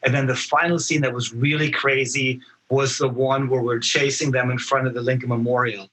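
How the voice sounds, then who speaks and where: A man with a bright, forward voice and a middling pitch, speaking as a guest actor on a podcast. He tells his stories casually and thoughtfully, often pausing to find the next phrase. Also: level tone, poor audio. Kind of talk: casual podcast interview, recounting experiences.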